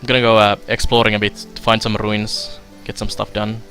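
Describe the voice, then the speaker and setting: A man's voice: lowish voice, brisk, soft background music. A young man from Finland with a Finnish accent, casually commenting on a strategy game he plays while answering viewers' chat. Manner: relaxed and chatty, many fillers, laughs often. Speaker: streamer. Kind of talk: let's play commentary while reading chat.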